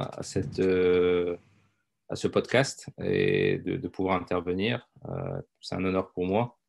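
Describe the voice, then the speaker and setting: A man's voice: sits low, vocal fry, darkish tone. A middle-aged man, a doctor talking as a guest in a casual interview, hesitant with many fillers and repeated words. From France. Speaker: guest, intensive care doctor.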